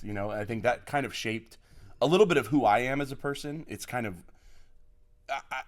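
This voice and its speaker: Guy from oklahoma on a podcast, lowish voice, gushy and excited, lots of ums. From Oklahoma, USA. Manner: enthusiastic, effusive, many fillers. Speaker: podcast guest, college program lead. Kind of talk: podcast interview, personal storytelling.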